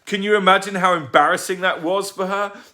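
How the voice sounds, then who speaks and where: A man's voice: sits high, huge pitch swings, normal speed, fairly bright tone, pitched above the performer's own voice, heavily acted. A man voicing a short-tempered, furious male neighbour who growls and roars in an audiobook. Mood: roaring furious. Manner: growling, roaring, breathing heavily.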